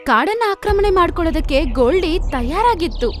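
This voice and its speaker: A woman's voice: very high-pitched, lively intonation, brisk, loud music behind the voice. A woman narrating a scripted animal story for children in a storyteller's style.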